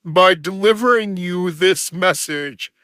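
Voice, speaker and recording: A man's voice: high-pitched, slow pace, pushed and bright, dry recording. A man reading a formal scripted announcement as an emergency alert announcer, in an official synthetic manner.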